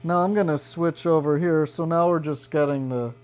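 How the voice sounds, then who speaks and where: A man's voice: a little high, steady tone, moderate pace, a little mellow, smooth. Man giving a casual gear demo. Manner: informal, checks in with "right" and "okay". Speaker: guitar pedal demonstrator. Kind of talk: casual product demo, explaining step by step.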